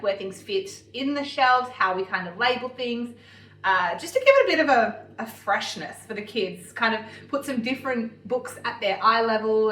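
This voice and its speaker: A woman, chatting casually to camera in a lifestyle vlog, with lots of fillers and little laughs, and a slightly croaky voice from a cold. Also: mid-range voice, fairly bright tone, rough recording. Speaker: vlogger.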